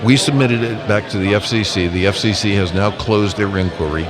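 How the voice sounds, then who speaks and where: Busy crowd chatter surrounds a man with a fairly low voice. He talks casually as an interview guest, laying out his points step by step with emphasis, as a representative at a live convention event. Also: a little fast. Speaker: amateur radio association representative, interview guest.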